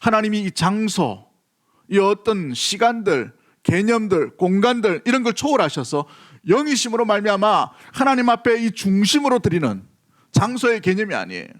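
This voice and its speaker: A man's voice: sits high, big pitch swings, moderate pace. A man from South Korea preaching a sermon as a pastor, emphatic, repeating key phrases and putting questions to his congregation.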